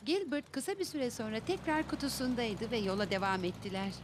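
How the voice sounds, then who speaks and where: A woman's voice: on the high side, fairly quick. A woman narrating a children's cartoon as a storyteller, reading scripted lines. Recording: loud music behind the voice.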